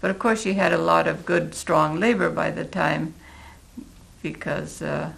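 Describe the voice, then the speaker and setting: An elderly American woman in her eighties recalling her life in a reflective interview, halting and thoughtful, with a gravelly, creaky voice. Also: low-pitched, fairly even intonation, a bit bright. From Oregon, United States. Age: 80s. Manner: halting, deliberate, thoughtful pauses. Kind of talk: reflective oral history interview.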